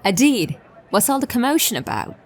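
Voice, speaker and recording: An actress playing a concerned, businesslike detective, speaking conversationally in an audio drama. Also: female voice, fairly high pitch, big pitch swings, a little fast, recorded from a distance.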